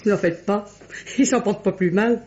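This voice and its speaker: An actress playing a chatty, saucy, coquettish young French chambermaid in a vintage radio mystery. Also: female voice, mid-range voice, normal speed, dark tone, a little hiss or hum, close to an everyday voice. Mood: pert, defiant. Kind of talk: mid-20th-century French radio mystery acting. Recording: muffled vintage radio recording.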